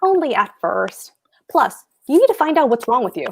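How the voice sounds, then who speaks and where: A woman as a pompous, high-pitched husband. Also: very animated, moderate pace, gravelly, a little hiss or hum, exaggerated voice. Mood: pompous, smug. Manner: pompous, emphatic, precise. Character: pompous, pedantic, blustering husband, a petty official. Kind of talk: stage comedy table read.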